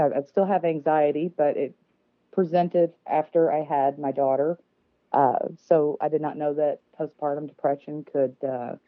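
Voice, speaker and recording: Low-voiced woman on a tinny phone line. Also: dry recording. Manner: nervous, self-deprecating, frequent fillers and laughs. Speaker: podcast guest. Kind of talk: casual interview conversation. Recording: dry, phone-like remote call audio.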